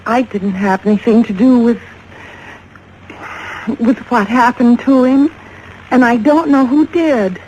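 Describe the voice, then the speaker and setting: An actress plays an evasive young woman under suspicion in a vintage American radio drama, pleading earnestly. Her voice is soft-toned and mellow. The old recording is muffled, with faint noise. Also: on the high side, measured pace, pauses often, close to an everyday voice. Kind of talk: mid-century American radio drama acting.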